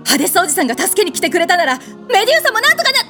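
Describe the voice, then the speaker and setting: A woman playing a proud, hot-tempered goddess in an audio comedy drama, bossy and rough-spoken. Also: very high-pitched, big pitch swings, very fast, sharp tone, exaggerated voice. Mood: exasperated. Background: music.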